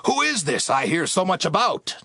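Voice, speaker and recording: An actor playing a cruel, haughty, uneasy king in a Bible audio drama, with a raspy, gravelly, tense voice. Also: sits high, big pitch swings, moderate pace, pushed and bright, no echo, studio quality, full character voice. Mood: haughty, agitated.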